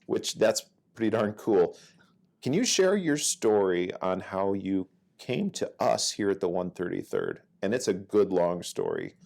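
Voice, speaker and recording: A man's voice: low voice, huge pitch swings, measured pace, gravelly, a little mellow. A middle-aged man hosting an interview, asking friendly, encouraging questions. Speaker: interviewer, command chief. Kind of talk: interview host.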